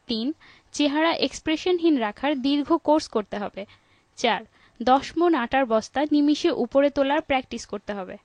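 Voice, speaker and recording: Casting: actress as female mock dignitary for parody, high-pitched, dry studio sound, measured and deadpan. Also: no room sound. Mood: solemn, instructive. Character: mock dignitary woman solemnly reciting absurd tips. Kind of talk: scripted parody address.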